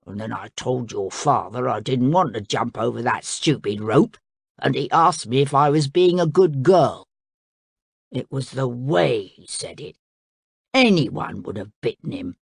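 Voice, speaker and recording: A woman voicing a sulky, greedy male crocodile in a storybook reading, blunt and grumbling, with her voice pushed lower. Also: animated, a little slow, creaky, breathy edge, dry recording, studio quality, full character voice.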